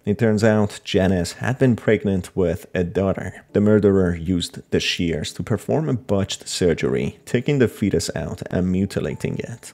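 A man's voice: low-pitched, a little mellow. A man narrating a scripted crime horror story recap.

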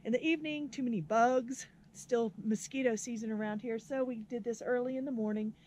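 A woman's voice: fairly high pitch, steady tone, a little fast, a little mellow, a little hiss or hum. A middle-aged woman, a history teacher, chatting casually and laughing easily while filming herself outdoors at arm's length. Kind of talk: casual outdoor vlog chat. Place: outdoors at a windy off-grid camp. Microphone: camera at arm's length.

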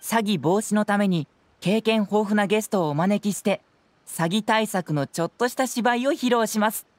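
A woman's voice: medium pitch, moderate pace, no echo, natural voice. Casting: youthful male sleuth voiced by a woman, boyish middle register, playful theatrical narrator for a game. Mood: showy, welcoming. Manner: polite, theatrical presenting. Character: clever, playful young male detective as stage narrator. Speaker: narrator and presenter. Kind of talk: video game voice acting.

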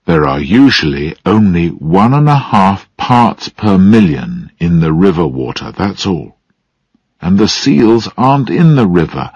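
A man's voice: sits low, a little mellow, husky, dry recording, muffled recording, exaggerated voice. A man voicing a tired, sad older father, a biologist on the defensive, in an audiobook. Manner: weary, defensive, turning angry. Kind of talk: audiobook character dialogue.

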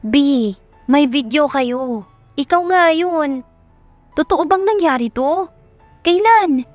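A woman with a Filipino accent voicing an excitable young female neighbour in an audio romance, urgent and disbelieving. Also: high voice, slow, dry recording, faint music behind, pitched above the performer's own voice.

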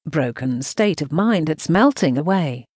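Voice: medium pitch, lively intonation, unhurried, a little mellow, slightly rough, dry recording, studio quality. A synthetic text-to-speech voice reading translated news narration in a choppy way, speaking punctuation marks aloud.

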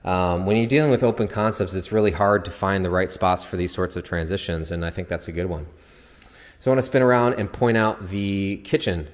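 A man's voice: low-pitched, brisk. A man giving a casual, unscripted, explanatory house tour as a home builder's representative. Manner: informal, explanatory. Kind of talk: casual unscripted walkthrough narration. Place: empty new house, indoors. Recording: echoey empty house interior, long reverb.

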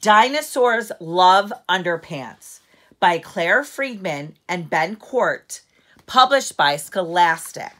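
A middle-aged woman reads a children's story aloud at home. Her voice is bright and forward, with lively rises and falls. She moves at an unhurried pace, stressing words like a storyteller. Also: lowish voice. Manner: deliberate storyteller phrasing with strong emphasis. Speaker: teacher reading aloud to children. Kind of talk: expressive picture-book read-aloud. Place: living room at home, seated in an armchair.

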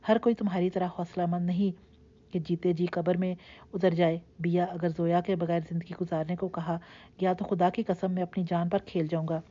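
A woman's voice: lowish voice, warm tone, lo-fi sound, natural voice. A woman voicing a desperate, torn young man who pleads in anguish.

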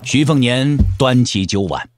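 A man's voice: mid-range voice, expressive, a little slow, slight background noise. A man narrating a martial-arts audio drama in a storytelling style. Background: soft background music.

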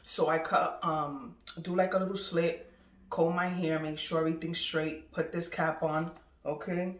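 A woman's voice: on the low side, steady tone, unhurried. A woman in her thirties chatting casually to a phone camera at arm's length, rambling with lots of fillers. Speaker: vlogger. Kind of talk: casual vlog chat. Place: bedroom, then a moving car. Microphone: handheld phone camera at arm's length.

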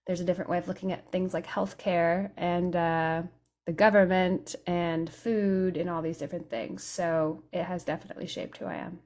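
A young woman musician from Italy chats on a video call from her home room. Her voice sits on the low side, and she talks in a relaxed, thoughtful way. She pauses and uses fillers as she thinks. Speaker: guest musician. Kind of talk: casual interview over video call. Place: home room with studio mic and headphones. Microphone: studio condenser mic on video call.

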